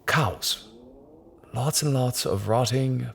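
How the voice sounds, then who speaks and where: A man's voice: mid-range voice, slow, gravelly, breathy edge, full character voice. An actor playing a grave, hesitant construction company man recalling something grim in an audio drama. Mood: sombre, haunted. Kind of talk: naturalistic audio drama dialogue, recounting in an interview.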